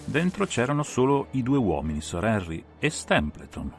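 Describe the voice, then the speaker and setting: A man's voice: medium pitch, sing-song, moderate pace. A man reading dramatic first-person mystery narration as an audiobook narrator. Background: atmospheric music.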